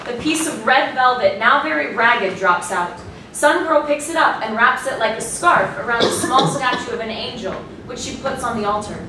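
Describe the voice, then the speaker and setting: A woman's voice: fairly high pitch, moderate pace, hardly any pauses. Woman reading out play directions, scripted, far from the mic with noise behind her. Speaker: stage directions reader. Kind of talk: scripted narration. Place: small hall, staged reading before an audience. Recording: very echoey room, distant mic, noisy.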